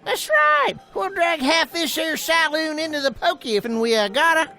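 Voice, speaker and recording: Shrill, blustering cowboy deputy. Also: female voice, animated, normal speed, vocal fry, bright, forceful tone, faint music behind, heavily acted. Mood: eager. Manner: drawling Old West slang, emphatic. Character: blustering, folksy cowboy deputy sidekick, eager and trigger-happy.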